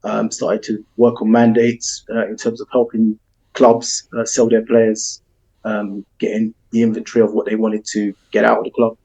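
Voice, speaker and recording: A man with a South London accent talks through his career over a video call, his delivery almost entirely flat and monotone. His voice is dark and mellow, and he rambles along easily with plenty of ums and you-knows. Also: on the low side, moderate pace, gravelly, highs cut off. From England. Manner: relaxed, rambling, many "um" and "you know". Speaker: football agent. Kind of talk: casual interview answers.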